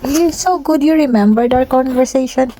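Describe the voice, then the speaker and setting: A woman's voice: high-pitched, normal speed, warm tone, a little hiss or hum, rough recording. A woman reading a storybook aloud as a parent and encouraging a child along in casual talk.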